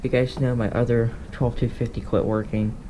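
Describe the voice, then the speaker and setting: A man talks casually through a hobby collection in an offhand, rambling way, correcting himself as he goes. His pitch sits in the middle for a man. The camera audio is clearly noisy, with small clicks. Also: little pitch movement, moderate pace. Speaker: hobbyist showing a radio collection. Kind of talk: casual unscripted show-and-tell.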